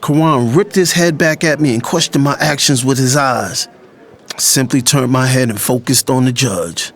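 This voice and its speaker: A man's voice: mid-range voice, moderate pace, a bit bright. An actor playing a resolute, self-sacrificing younger brother who narrates his story in the first person. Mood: narrating, grave. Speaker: audiobook narrator.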